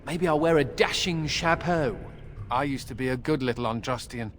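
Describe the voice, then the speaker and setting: A man's voice: medium pitch, normal speed, a bit bright, slight background noise, lightly acted. A man playing a witty, flippant mage adventurer in a video game, with a playful, sardonic manner.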